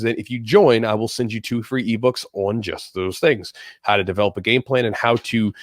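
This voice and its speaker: A man's voice: medium pitch, no room sound, studio quality. A man hosting a podcast in a casual, rambling, off-the-cuff way, with a slightly congested, nasal voice that often repeats itself. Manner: rambling, conversational, repeats himself. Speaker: podcast host.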